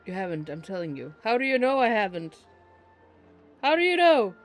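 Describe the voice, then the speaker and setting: A man's voice: high-pitched, very animated, moderate pace. A man giving casual, rambling, incredulous commentary as he streams a game and banters with viewers. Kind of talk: casual live gameplay commentary. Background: faint game music.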